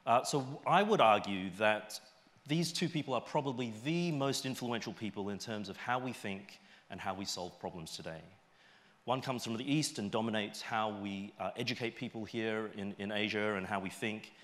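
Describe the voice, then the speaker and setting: A man's voice: lowish voice, moderate pace, fairly bright tone. A middle-aged man with an Australian accent giving an informal conference talk in a conversational way, often checking in with short tag questions. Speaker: conference speaker. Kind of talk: informal stage presentation. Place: large auditorium stage, indoors.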